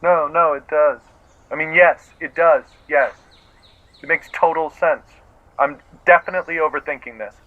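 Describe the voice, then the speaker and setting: A man's voice: a little high, steady tone, normal speed. A man playing an eager, awkward, gushing out-of-work actor in a comedic audio play, rambling and repeating himself through a phone filter. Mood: awkward, nervous. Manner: rambling, repetitive, effusive. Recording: thin phone-like band-limited line.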